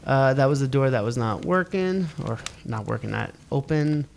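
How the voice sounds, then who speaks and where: A man with a gravelly, fry-heavy voice plays through a spooky video game, reading its text aloud and grumbling at the controls. He curses now and then at what happens on screen. A faint hiss sits under his voice. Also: medium pitch, normal speed. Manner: muttering, swears at the game, reads on-screen text in a flat voice. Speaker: let's play gamer. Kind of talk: casual let's play commentary, reading game text aloud.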